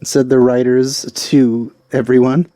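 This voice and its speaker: Mellow man giving wry game commentary. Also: mid-range voice, level tone, measured pace, soft background music. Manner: wry, offhand. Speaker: gamer, let's play host.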